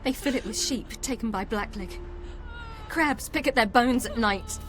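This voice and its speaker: A woman's voice: fairly high pitch, moderate pace, over music, lightly acted. An actress with a British accent playing a determined, proud young warrior woman in a video game, earnest and intense. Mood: defiant.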